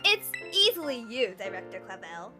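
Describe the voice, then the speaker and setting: A woman playing an eager, excitable young schoolgirl adventurer in a fan dub. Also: on the high side, normal speed, soft background music. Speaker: student character.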